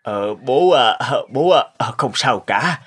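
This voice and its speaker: A man playing a good-hearted, worried elderly grandfather in a cartoon, speaking earnestly and haltingly. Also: medium pitch, animated, fairly bright tone, breathy edge, exaggerated voice. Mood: sheepish, hesitant. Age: older. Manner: halting, earnest, swooping intonation. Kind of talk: cartoon dialogue acting.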